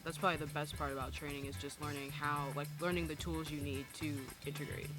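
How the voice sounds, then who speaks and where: A young woman with an American accent speaks as a volunteer in a casual interview. Her intonation stays quite flat, with music clearly playing behind. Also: low voice, quick pace. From Missouri, United States. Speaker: Peace Corps volunteer.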